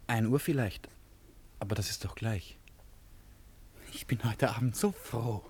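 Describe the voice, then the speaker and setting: A man's voice: fairly low pitch, unhurried, a little mellow, a little hiss or hum, lightly acted. A man acting in a German radio drama as an eccentric, nervous, talkative man, theatrical and swinging between confiding and outbursts. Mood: intense, confiding.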